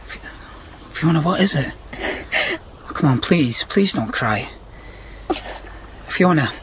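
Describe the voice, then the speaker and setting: An actor playing a gentle, earnest countryman in love in a naturalistic radio drama. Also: fairly high pitch, lively intonation, takes it slowly, a crowd in the background. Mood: tender, soothing.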